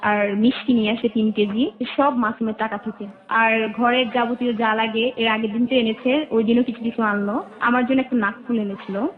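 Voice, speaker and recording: A woman's voice: a little high, steady tone, normal speed. A woman with a Bangladeshi accent telling her life story as an interview guest, in detail and with remembered dialogue. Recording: muffled, narrow phone-like band.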